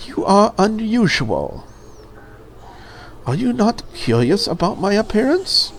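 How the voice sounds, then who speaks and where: Visual novel dragon girl ambassador, played by a woman: proud, rigid, formal, grandiose, with a lively pitch range. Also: takes it slowly, slight background noise. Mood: formal, puzzled. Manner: stiff, formal, solemn declarations. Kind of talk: visual novel character read aloud.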